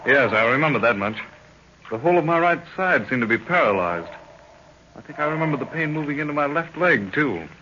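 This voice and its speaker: An actor playing an earnest, polite young adventurer in an old radio drama. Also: male voice, fairly high pitch, lively intonation, brisk, bright, forceful tone. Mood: earnest, cheerful. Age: young adult. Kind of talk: 1930s radio acting. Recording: muffled, faintly noisy 1930s radio recording.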